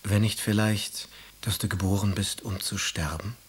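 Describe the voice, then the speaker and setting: An actor playing a grave, brooding romantic poet, solemnly reciting verse in a radio drama. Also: male voice, lowish voice, fairly even intonation, moderate pace, husky. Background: instrumental music.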